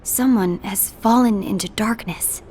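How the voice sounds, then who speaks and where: An actress playing a calm, composed young female apprentice in a fantasy video game cutscene, speaking in a questioning, reasoned way. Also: medium pitch, a little slow, husky.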